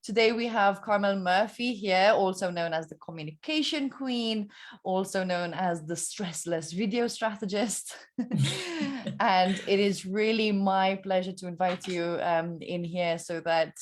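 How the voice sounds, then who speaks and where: A woman's voice: medium pitch, measured pace. Upbeat woman hosting an interview. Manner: friendly, upbeat, laughs often. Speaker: interview host. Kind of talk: casual interview talk.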